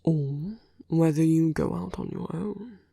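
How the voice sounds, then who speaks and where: A man playing a soft-hearted villain wooing his heroic enemy in an intimate ASMR roleplay, speaking slowly and deliberately in a breathy hush that often slips into whispers. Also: on the high side, dry recording, clean studio sound, full character voice. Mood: tender, hesitant. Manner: slow, deliberate, hushed, often slipping into whispers. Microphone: close, dry microphone.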